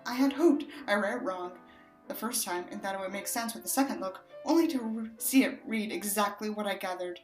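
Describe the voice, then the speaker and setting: A woman's voice: mid-range voice, a little fast, echoey room, soft background music. A woman narrating a book expressively, with sardonic, exasperated asides as a commentator on what she reads. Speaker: audiobook reader and commentator.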